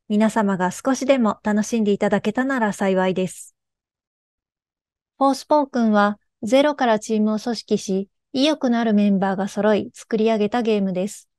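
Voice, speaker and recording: A woman's voice: mid-range voice, moderate pace, a little mellow, dry recording, studio quality. A woman giving a polite, formal scripted presentation.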